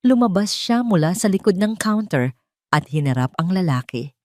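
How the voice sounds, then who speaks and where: A woman's voice: lowish voice, sing-song, moderate pace, darkish tone, no echo, studio quality. A woman narrating a scripted dramatic story as an audio drama narrator.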